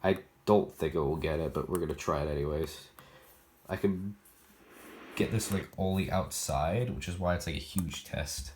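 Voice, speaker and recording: A man's voice: very deep voice, normal speed, a little mellow. A man casually showing off his weather radio collection, rambling and thinking aloud as he goes. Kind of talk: casual product demo vlog. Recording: noisy recording with clicks.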